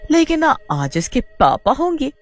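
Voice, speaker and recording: Woman voicing an arrogant high-voiced female rival. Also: sing-song, unhurried, no room sound, soft background music. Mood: smug, menacing. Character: arrogant, scheming young female rival wrestler. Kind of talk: Hindi animated story acting.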